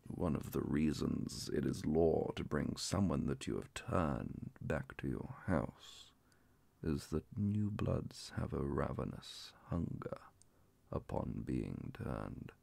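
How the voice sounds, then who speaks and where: A man playing a calm, courteous male vampire inquisitor in an ASMR roleplay, speaking softly and formally with whispers and a gravelly, breathy voice. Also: rumbling low, dry recording, full character voice. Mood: formal, solemn. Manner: formal, measured, hushed. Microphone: close mic, dry.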